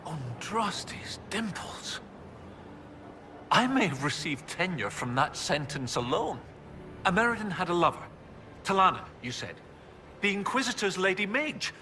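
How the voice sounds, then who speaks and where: Scholarly historian, keen, bright tone, slightly high, wordy, fantasy game, faint background noise. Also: male voice, a little slow, long pauses, heavily acted. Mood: astonished, excited. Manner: animated, wordy, academic.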